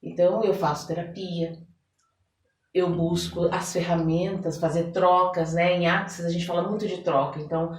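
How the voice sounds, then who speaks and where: A Brazilian woman with a fairly low voice talks in a didactic, conversational way, repeating phrases to drive them home. She sounds set back from the microphone, with clear room echo around her. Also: fairly even intonation, normal speed. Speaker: interviewed therapist and guest. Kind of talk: conversational explanatory interview talk. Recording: roomy echo, distant microphone.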